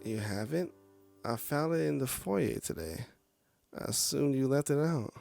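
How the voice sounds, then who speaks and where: Man as humble clown puppet, game character, casual, self-effacing, medium pitch, music behind. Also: animated, unhurried, gravelly, a little mellow, no echo. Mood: puzzled, mild. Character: humble, friendly sad clown puppet, male.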